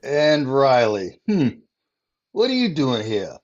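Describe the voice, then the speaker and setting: An actor playing a smug acquaintance who teases the hero, in vintage radio comedy style. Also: male voice, mid-range voice, huge pitch swings, slow, highs cut off. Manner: smug, teasing. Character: smug male rival acquaintance.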